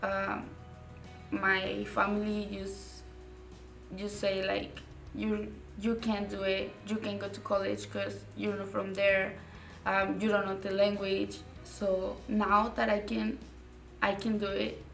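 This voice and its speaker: A young woman from Mexico, a native Spanish speaker with a Mexican Spanish accent, answering interview questions in a halting way, repeating words and using many fillers. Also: medium pitch, monotone, gravelly, a bit bright, roomy sound, distant mic, soft background music. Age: young adult. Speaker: graduating student. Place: indoor studio with dark curtain.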